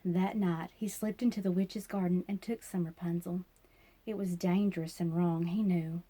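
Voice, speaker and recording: Mellow woman reading a storybook aloud. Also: lowish voice, fairly even intonation, moderate pace, slightly rough. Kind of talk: expressive scripted storybook narration.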